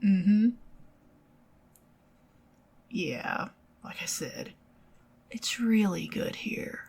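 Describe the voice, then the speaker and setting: A middle-aged woman with a Southern US accent, speaking softly and partly in whispers in an ASMR date roleplay. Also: medium pitch, animated, very slow, gravelly. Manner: intimate, soft-spoken, giggly, partly whispered.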